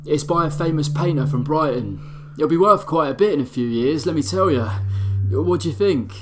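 An actor playing a shy, casually spoken young apprentice in an audio drama. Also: male voice, on the high side, moderate pace, a crowd in the background, lightly acted. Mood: chatty, proud. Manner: casual, colloquial, hesitant. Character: shy, soft-spoken young plumbing apprentice, boxing fan. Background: music.

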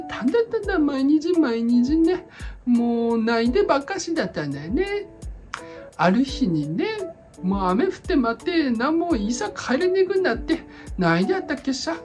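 A woman's voice: high voice, darkish tone, voice pushed up. A woman voicing a chatty old auntie from the Tsugaru countryside who is secretly a cyber police investigator, speaking in thick Tsugaru dialect with a folksy, rambling storyteller manner. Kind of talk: comedic voice drama.

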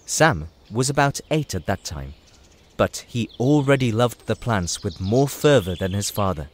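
A man's voice: fairly low pitch, expressive, measured pace. A man reading scripted storybook narration for an audio drama. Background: music.

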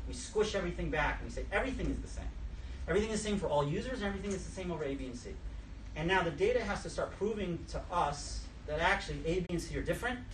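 A man's voice: high voice, animated, rapid-fire. A middle-aged man with a New York accent giving a blunt, joking talk to a conference audience, recorded in a room with a projector. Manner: blunt, joking, self-deprecating, gestures broadly. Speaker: speaker at a conference session. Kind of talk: casual live lecture. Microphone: camera at the back of the room, no mic. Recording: roomy, distant, noisy room recording.

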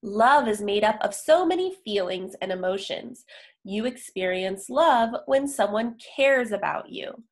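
Slow-paced woman reading a picture book. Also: medium pitch, expressive. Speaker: children's picture book reader. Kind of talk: read-aloud storytelling for young children. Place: indoor room, on a video call.

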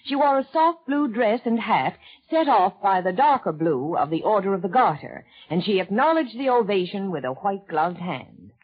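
A woman's voice: medium pitch, very animated, normal speed, few pauses, breathy edge. An American woman presenting scripted women's news in a 1940s radio style. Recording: muffled 1940s radio broadcast recording.

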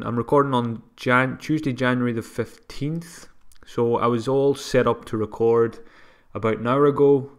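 A man hosting a solo podcast, talking casually and a bit sniffly from a cold, with frequent restarts and self-deprecating asides. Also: medium pitch. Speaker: podcast host. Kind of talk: solo fantasy football podcast.

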